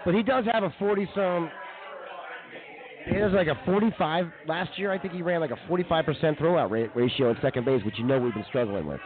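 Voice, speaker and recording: Sports co-host: American man, quick and fairly high-voiced, colloquial, narrow phone-like broadcast sound. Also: a crowd in the background. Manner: colloquial, opinionated. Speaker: radio co-host and baseball commentator. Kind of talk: casual sports chat. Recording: tinny band-limited live broadcast.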